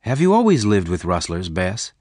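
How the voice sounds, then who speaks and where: A man voicing a strong young cowboy in an audiobook, gentle and devoted, speaking in a measured, earnest way. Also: deep voice, wildly swooping pitch, no room sound, clean studio sound, heavily acted. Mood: gently curious.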